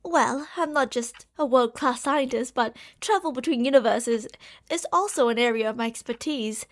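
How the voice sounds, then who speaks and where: Woman acting high-voiced obsessive yandere girlfriend. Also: normal speed, lightly acted. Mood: intense, explaining. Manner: doting, gushing, swinging between hushed and excited. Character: obsessive, delusional yandere girlfriend, a genius scientist from another universe. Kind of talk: ASMR roleplay monologue addressed to the listener.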